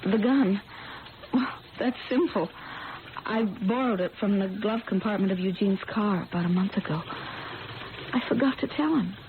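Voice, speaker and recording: A woman's voice: mid-range voice, moderate pace, pauses often, natural voice. A woman playing an idealistic, stubbornly loyal young woman in an old-time radio drama, speaking with insistent, naive sincerity. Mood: defiant, earnest. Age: young adult. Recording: tinny, noisy old radio broadcast.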